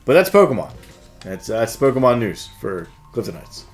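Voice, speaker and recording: A man's voice: medium pitch, big pitch swings, normal speed, faint music behind. A man co-hosting a casual news show, bantering with a hesitant delivery and playful outbursts. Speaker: co-host of a game store news show.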